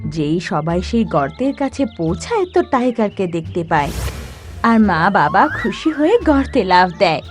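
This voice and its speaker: A woman narrating an animated children's story in an expressive, dramatic storytelling manner. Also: mid-range voice, normal speed, soft background music.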